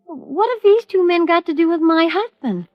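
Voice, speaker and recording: An actress playing a defensive, impatient widow in an American radio drama, speaking in a clipped, indignant manner. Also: female voice, extremely high pitch, lively intonation, normal speed, no room sound. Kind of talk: 1950s radio drama acting. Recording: dull old radio recording.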